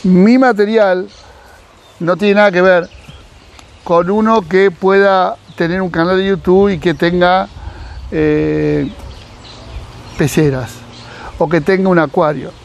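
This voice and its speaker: A man's voice: high-pitched, slow, vocal fry, long pauses, dull audio, slight background noise. A middle-aged Argentine man from Mar del Plata, a fish breeder, giving casual advice outdoors in a garden and talking animatedly with his hands. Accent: Argentine Spanish, Mar del Plata. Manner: animated, gesturing, acts out quoted dialogue. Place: outdoors in a sunny garden by a pool. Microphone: camera at close range.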